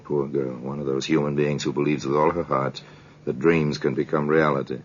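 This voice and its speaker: Very deep-voiced, sardonic crime storyteller. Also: male voice, little pitch movement. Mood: pitying, ironic. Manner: measured, ominous, knowing, theatrical. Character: worldly, sardonic male storyteller of true murders. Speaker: narrator of a crime anthology. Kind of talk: 1950s radio drama narration. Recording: dull, noisy 1950s radio recording.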